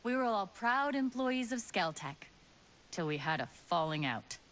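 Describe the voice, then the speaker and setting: An actress playing a tough, sardonic rebel leader in a video game, wry and resolute. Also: female voice, mid-range voice, big pitch swings, unhurried, fairly bright tone. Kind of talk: video game cutscene acting.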